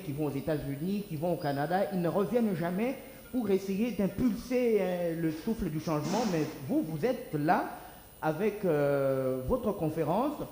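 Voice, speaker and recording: A man hosting a TV studio interview asks quick, pointed questions of his guests. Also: fairly high pitch, dark tone, roomy sound, big-room sound, slight background noise, poor audio. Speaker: TV host. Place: TV studio set.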